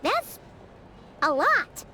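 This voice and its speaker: A woman voicing a small, curious and naive childlike fairy companion in a video game, with a squeaky voice. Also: very animated, very slow, background noise, exaggerated voice. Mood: curious, puzzled. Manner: refers to self in third person, puzzled.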